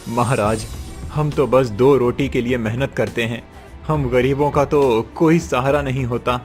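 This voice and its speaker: Man playing a slow-spoken humble poor farmer. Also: mid-range voice, steady tone, faint music behind, close to an everyday voice. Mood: humble, anxious. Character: poor honest male farmer, humble, earnest. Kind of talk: Hindi moral-story cartoon dialogue.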